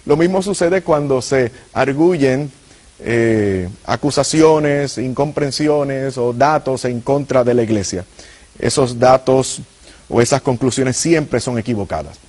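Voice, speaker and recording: Husky Puerto Rican preacher man. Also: medium pitch, slow pace, darkish tone. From Puerto Rico. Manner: earnest, addresses viewers directly. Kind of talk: apologetics teaching.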